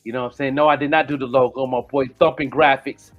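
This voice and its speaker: A man's voice: medium pitch, steady tone, quick pace. Male host, late 40s, loose rambling chat full of "you know what I'm saying", muffled lo-fi recording with faint music under it. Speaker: podcast host. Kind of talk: casual chat.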